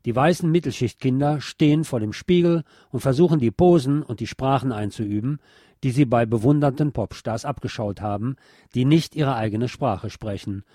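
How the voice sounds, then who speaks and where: Male narrator for a radio essay, soft-edged warm tone, very dry studio sound, reading from script. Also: medium pitch, normal speed, no echo. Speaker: narrator reading a book chapter.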